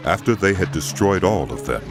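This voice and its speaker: A man narrating scripture from a script in a measured, expressive storytelling manner. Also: sits low. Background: music under the voice.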